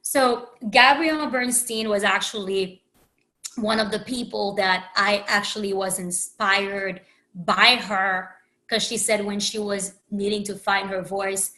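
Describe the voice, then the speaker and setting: A woman from Haiti talks alone on her podcast in a frank, meandering way, restarting sentences and leaning on "you know". Her voice is bright and forward, sitting at an ordinary pitch for a woman. She sounds like a coach sharing personal reflections. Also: fairly even intonation, measured pace, poor audio. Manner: candid, rambling, with frequent restarts and "you know". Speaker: podcast host and empowerment coach. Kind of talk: reflective solo podcast monologue.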